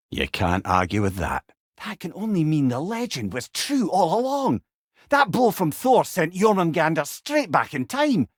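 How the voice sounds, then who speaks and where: A learned old Scottish god from a video game, a companion to warriors who tells tales with wry wit. His pitch swings expressively through eloquent, old-fashioned sentences, and the sound is dry and close. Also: no room sound. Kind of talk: video game character dialogue, storytelling banter.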